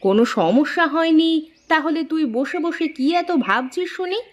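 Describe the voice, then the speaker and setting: A woman's voice: high-pitched, expressive, moderate pace. A woman playing a melodramatic, scolding village mother of two daughters in an exclamatory, teasing cartoon style. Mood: prodding. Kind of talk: Bengali moral-story cartoon acting. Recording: music behind the voice.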